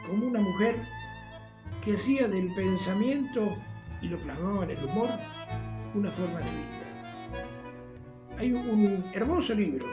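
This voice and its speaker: A man with an Argentine accent hosting a conversational radio programme, speaking in an informal, affectionate way. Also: sits high. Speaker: radio host and interviewer. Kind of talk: conversational radio interview with closing book recommendation.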